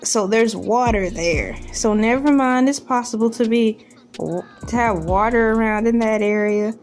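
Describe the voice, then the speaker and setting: Female commentator, higher-pitched and slow, joking and incredulous in casual asides, faint music underneath. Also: a little mellow. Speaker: video host. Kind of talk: casual comedic commentary on a news article. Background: background music.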